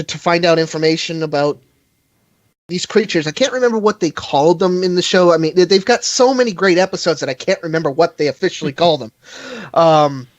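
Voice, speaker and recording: A man with a slightly high voice talks through a casual fan podcast in a halting, rambling way. The sound is dry and a little dull, close and without room. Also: no echo. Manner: halting, rambling, chuckles often. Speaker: live stream host.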